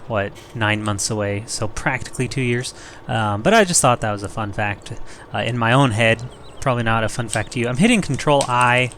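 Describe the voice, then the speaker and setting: A young man hosting a farming game let's play, slightly hoarse and rough-voiced, rambling and joking at himself as he thinks aloud. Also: medium pitch, fairly bright tone, slight background noise. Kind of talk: casual gameplay commentary.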